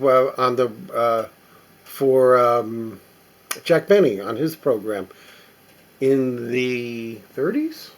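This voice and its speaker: A mature man talks casually through items in his collection, unscripted. His mid-pitched voice is a little husky and unclean in tone. He muses aloud in a halting way, as if inspecting each thing while he speaks. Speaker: record collector presenting finds. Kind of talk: casual unscripted show-and-tell commentary.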